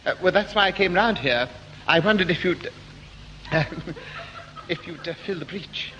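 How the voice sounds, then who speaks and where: A prim, fussy English gent with a high, bright voice. He is timid and hesitant, acted in old radio sitcom style with slight background hiss. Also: measured pace, muffled recording. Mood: flustered. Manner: hesitant, prim, nervous chuckling. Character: fussy, timid, genteel amateur dramatics producer. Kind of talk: 1960s British radio sitcom acting. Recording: faintly noisy 1960s radio broadcast.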